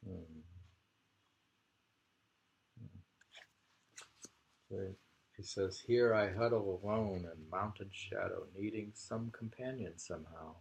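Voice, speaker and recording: Slow, gravelly man reading poetry. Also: deep voice, a little mellow, poor audio. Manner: deliberate, repeats lines and muses on them. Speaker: poetry reader and commentator. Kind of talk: reading poems aloud with casual discussion.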